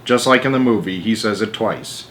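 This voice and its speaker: A man narrating a storybook aloud in an expressive, emphatic manner, with casual asides. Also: on the low side, normal speed. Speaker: story narrator and channel host.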